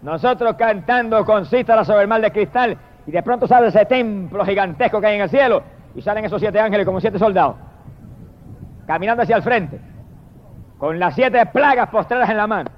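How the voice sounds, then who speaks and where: A male preacher with a high-pitched voice delivers a fervent evangelical sermon. He is emphatic and declamatory, stretching exalted phrases and speaking straight to his listeners. The recording is dull and lo-fi. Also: fairly quick, slight background noise.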